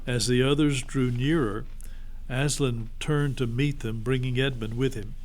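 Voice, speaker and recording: A man's voice: medium pitch, steady tone, measured pace, rough recording. A man reading a children's storybook aloud as a narrator.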